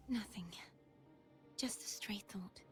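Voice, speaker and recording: A woman playing a gentle, grieving young warrior in a video game, speaking earnestly in a soft, breathy, halting way. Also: medium pitch, in a whisper. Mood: gentle, wistful. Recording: background music under dialogue.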